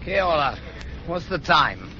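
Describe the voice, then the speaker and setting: A weary, drink-sodden Englishman abroad, slippery and formal under questioning. His voice sounds very bright, lively in pitch, and a little high. It comes through a dull, lo-fi radio-drama sound. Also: measured pace, soft background music, heavily acted. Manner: evasive, mannered formal phrasing.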